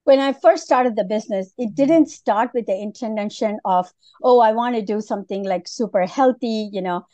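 A woman's voice: fairly high pitch, moderate pace, hardly any pauses, warm tone, no echo. A middle-aged woman from Malaysia, a podcast guest, talking casually with many fillers and restarts. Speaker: guest, food business founder. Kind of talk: casual interview.

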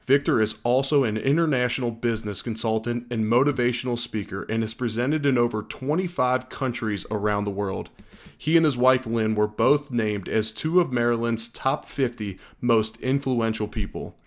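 Podcast host man, measured and friendly. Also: mid-range voice. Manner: measured scripted intro, then friendly banter. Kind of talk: podcast interview hosting.

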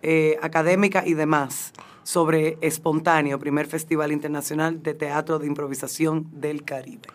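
A woman's voice: deep voice, normal speed. A woman co-hosting, reading event details with fillers. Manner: flat, even reading with fillers. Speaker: co-host.

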